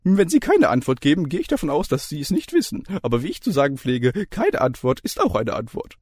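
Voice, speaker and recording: A man's voice: fairly high pitch, wildly swooping pitch, fairly quick, dry recording, studio quality, voice pushed up. A man doing a jolly grinning lecturer. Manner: cheerful, loud, bright. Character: jovial male lecturer, always grinning, spouting old sayings. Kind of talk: storybook reading.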